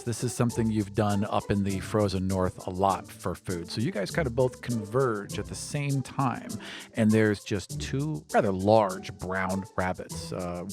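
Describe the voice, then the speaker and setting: A man runs a tabletop game as its game master. His voice is a bit low, and he narrates scenes casually and descriptively. Music plays behind him along with chirping insect sounds.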